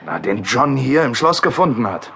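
A man's voice: mid-range voice, very animated, normal speed, gravelly, fairly bright tone, slight background noise. An actor playing a loyal, brave male friend in a radio play, blunt and reassuring.

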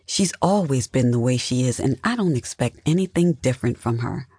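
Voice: mid-range voice, expressive, a little fast, darkish tone, slightly rough, dry recording, clean studio sound. A narrator voicing a patient, placating adult wife in a soothing, conciliatory way.

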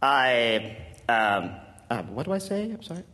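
A man's voice: mid-range voice, big pitch swings, measured pace, big-room sound. A man playing a muddled, prudish emperor who is hesitant and apologetic, in a comic radio play. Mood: nervous, confused. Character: flustered, dim-witted, prudish emperor out of his depth. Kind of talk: full-cast comic radio theatre.

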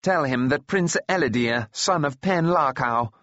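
A man's voice: medium pitch, brisk, a bit bright, no echo, muffled recording, lightly acted. A man voicing an arrogant, ill-tempered young prince who barks scornful orders, as in a storybook reading. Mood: scornful, commanding. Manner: haughty, barking orders.

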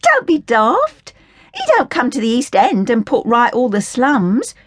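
A woman's voice: fairly high pitch, wildly swooping pitch, unhurried, dry recording, clean studio sound, voice pushed up, full character voice. A woman voicing a brash, scornful orphan girl. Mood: defiant. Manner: scornful and outspoken. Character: brash indignant young East End orphan girl.

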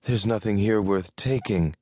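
A man's voice: fairly low pitch, a little slow, mellow, dry recording, voice pushed up. A feline female creature from a fantasy storybook, voiced by a man. She is blunt and selfish, speaking with bored disdain. The sound is dry and close. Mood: wheedling.

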